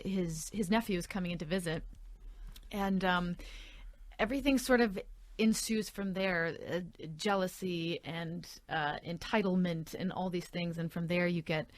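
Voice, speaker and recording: Actress lady on a radio show, lowish voice, dry close sound, kinda hesitant. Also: no echo. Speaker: actress guest. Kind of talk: radio interview.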